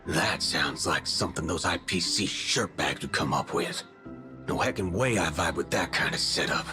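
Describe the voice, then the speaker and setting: A man voicing a cocky, playful cowboy gunslinger in a video game, talking in folksy slang with softened swear words. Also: low-pitched, animated, moderate pace. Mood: wary, resolute. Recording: game dialogue over music.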